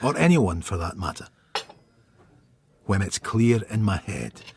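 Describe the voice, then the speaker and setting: An actor playing a weary, sardonic middle-aged police inspector in a radio drama, with a gruff manner of speaking. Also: male voice, fairly low pitch, very animated, measured pace, a little mellow, full character voice. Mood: brooding.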